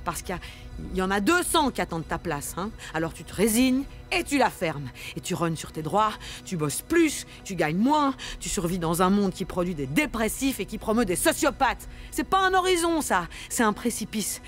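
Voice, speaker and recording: A woman's voice: medium pitch, sing-song, normal speed, faint music behind, natural voice. An actress playing an angry, defiant woman worker in a radio fiction, speaking with impassioned, militant bitterness. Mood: bitter, resigned.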